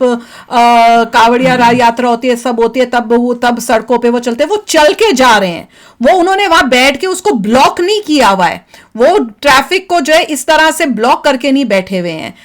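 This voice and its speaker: A woman giving casual, opinionated reaction commentary, repeating phrases for emphasis. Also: sits high. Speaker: reaction video host.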